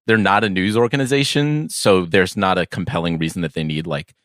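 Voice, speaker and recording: A man co-hosting a podcast in casual banter, thinking aloud in a halting, rambling way. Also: deep voice, a bit bright, dry recording, studio quality. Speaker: podcast co-host.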